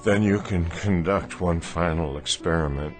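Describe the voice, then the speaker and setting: An actor playing a weary aging American man desperate for youth, speaking slowly and deliberately in a radio drama. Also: deep voice, faint music behind, lightly acted. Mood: weary resolve. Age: older. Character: weary aging brother, desperate to become young again.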